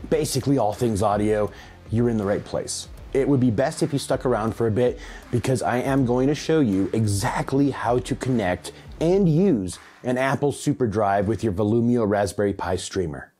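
A man around his late thirties or forties, presenting a scripted audio tech tutorial with clearly enunciated, step-by-step delivery. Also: mid-range voice, normal speed, warm tone, faint music behind. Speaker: host of a hi-fi audio channel. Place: small home room, indoors.

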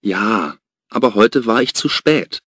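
A man playing a young worker who answers slowly and plainly in an exam dialogue. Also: lowish voice, expressive, fairly bright tone, breathy edge, dry recording, clean studio sound, full character voice. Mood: apologetic. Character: young male worker explaining his taxi ride.

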